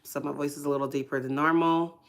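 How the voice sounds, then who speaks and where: A woman with a low voice that is hoarse and a little congested, telling her testimony on a casual livestream. She speaks softly and hesitantly, with many fillers and pauses. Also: steady tone. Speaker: ministry livestream host.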